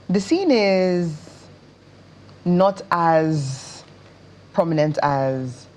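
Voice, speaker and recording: A woman with a Nigerian accent giving measured, expressive answers as an actress being interviewed. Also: low-pitched, slight background noise. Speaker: interview guest, stage and screen actress.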